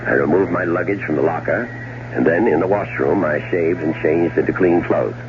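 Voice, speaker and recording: An actor playing a cold, scheming older brother in a 1970s radio drama, measured, sly and theatrical. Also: male voice, deep voice, natural voice. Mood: smug, calculating. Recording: muffled, noisy 1970s radio recording.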